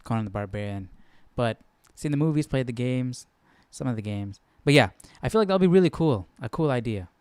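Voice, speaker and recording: A man muses casually and rambles with lots of fillers, thinking aloud between readings. His voice is smooth and clear. The sound is dry and close, with no room echo. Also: medium pitch, animated, brisk, hardly any pauses, darkish tone. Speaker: Bible study livestream host. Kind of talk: casual musing commentary.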